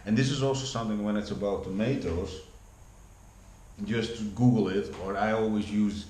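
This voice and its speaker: A man's voice: fairly low pitch, steady tone, moderate pace, darkish tone. Rambling Dutch man in an echoey room. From Netherlands. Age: 40s. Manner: rambling, many restarts and repeated words. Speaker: vlogger. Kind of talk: casual rambling monologue. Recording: echoey room with faint background hum.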